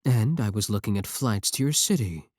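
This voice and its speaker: A man's voice: lowish voice, expressive, normal speed, no room sound, studio quality. A man playing a clingy, possessive yandere VTuber, pleading defensively and intimately with a single viewer in an ASMR roleplay. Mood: obsessive, coaxing.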